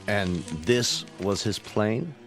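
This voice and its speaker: An actor playing a calm, reflective American psychiatrist in a radio drama, measured and probing. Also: male voice, lowish voice. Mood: grave. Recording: studio radio drama with faint music.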